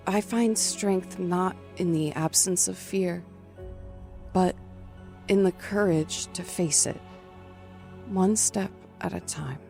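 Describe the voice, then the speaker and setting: Haunted survivor narrator, acted by a woman, deliberate, solemn and intense, music underneath. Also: on the low side, mellow. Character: haunted female survivor of a killer's attack, narrating. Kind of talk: dramatic scripted audio drama narration. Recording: music behind.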